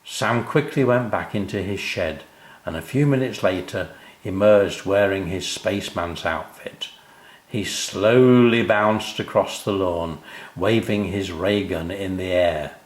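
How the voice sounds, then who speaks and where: An older man narrating a children's story, unhurried and expressive, speaking directly to young listeners. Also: low-pitched, roomy sound.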